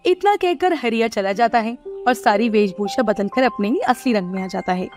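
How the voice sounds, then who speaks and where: A woman giving scripted narration of an animated moral story. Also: fairly high pitch, normal speed.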